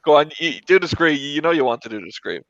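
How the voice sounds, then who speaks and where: A man's voice: a little high, big pitch swings, fast, a bit bright, no echo, dull audio. A man hosting a film tournament talk show with casual banter, introducing and moving things along.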